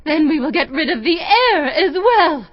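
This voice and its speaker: Anguished humble widow, high voice, beseeching, formal audio Bible drama, dry and slightly dull recording. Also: female voice, big pitch swings, a little slow, no echo. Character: anguished pleading widow, humble before a king.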